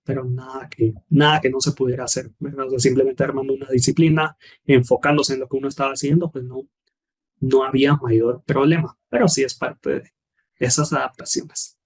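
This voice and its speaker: A young Guatemalan man answering interview questions in a measured way, often restarting phrases and ending points with a tag question. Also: medium pitch, steady tone, husky. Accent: Guatemalan Spanish. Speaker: guest, former scholarship student.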